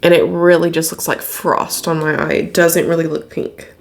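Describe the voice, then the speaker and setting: Chatty young woman, a bit raspy. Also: low-pitched, level tone, moderate pace. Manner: chatty and candid, laughs often while applying makeup. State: slightly raspy from illness. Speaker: beauty vlogger. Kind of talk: casual makeup review. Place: indoor home studio room.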